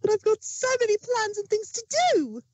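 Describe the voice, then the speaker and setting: A man voicing a bubbly, excitable female pony baker from a cartoon, gushing in breathless chatter. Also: extremely high pitch, normal speed, no echo, pitched above the performer's own voice. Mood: eager.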